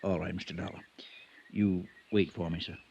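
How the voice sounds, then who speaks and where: Nervous folksy american stable hand, old radio drama, dull lofi sound, hesitant, polite. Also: male voice, low-pitched, little pitch movement, moderate pace, creaky, over loud music. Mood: furtive, reluctant. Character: wary, deferential ranch stable hand, reluctant and resigned. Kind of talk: 1950s radio drama acting. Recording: dull vintage radio recording.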